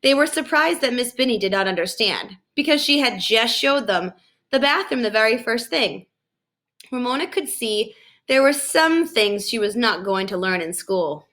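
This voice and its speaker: A woman narrating a children's book aloud in an expressive storytelling style. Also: on the high side, normal speed. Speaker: storybook reader.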